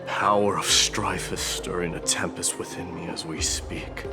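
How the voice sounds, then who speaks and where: A man's voice: sits low, fairly even intonation, a little slow. A man playing a proud, stern warrior prince turned war god in a video game, with a grandiose, declamatory delivery. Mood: grand, resolute. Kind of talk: scripted video game acting. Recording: clear music behind.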